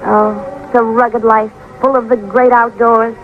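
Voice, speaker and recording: An actress playing a sweet-talking young city woman in an old radio drama, coy, wistful and quietly scheming. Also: on the high side, flat delivery, a little slow, lightly acted. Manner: soft-sell, coy, wistful. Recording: muffled vintage radio recording, faint music.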